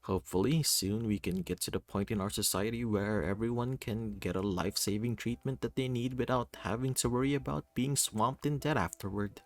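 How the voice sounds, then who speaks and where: Man playing a calm, low-voiced friendly doctor. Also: brisk, few pauses, no echo, close to an everyday voice. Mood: friendly, relaxed. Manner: calm, professional, welcoming. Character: friendly, composed male hospital doctor. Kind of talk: visual novel character reading.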